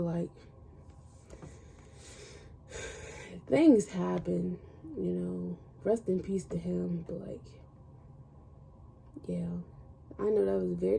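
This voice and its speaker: A woman's voice: mid-range voice, takes it slowly, gravelly, pauses often, mellow, slight background noise. A young American woman telling a personal story casually, in a slangy way, acting out what people said. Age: young adult. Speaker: storytelling vlogger.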